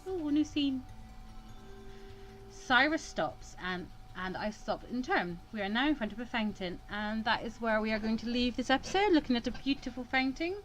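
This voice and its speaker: Animated woman with a high voice. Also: moderate pace, soft background music, rough recording. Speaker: gaming channel host. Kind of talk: casual let's play narration of a visual novel.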